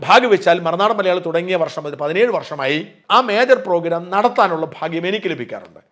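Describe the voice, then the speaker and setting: A man's voice: sits high, animated, a little fast, fairly bright tone. A middle-aged man from Kerala hosting an online news channel, giving emphatic, argumentative opinion commentary drawn from his own experience.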